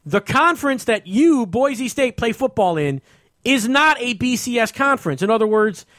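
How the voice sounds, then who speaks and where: A man's voice: extremely high pitch, very animated, moderate pace, a bit bright, dry recording, studio quality. An American man on a casual sports talk show, ranting in an exasperated, emphatic way and repeating himself. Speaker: podcast host.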